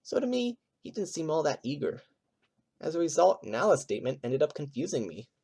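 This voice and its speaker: A man's voice: on the high side, sing-song, brisk, vocal fry, heavy background noise. A man playing a nervous, easily flustered animal witness who stammers and hesitates in an audio drama. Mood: hesitant.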